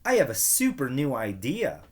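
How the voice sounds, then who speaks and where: A man voicing an excitable, scheming little kindergarten boy with a bossy, enthusiastic delivery in a storybook reading. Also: mid-range voice, normal speed, breathy edge. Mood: eager. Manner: enthusiastic, bossy, shouting announcements.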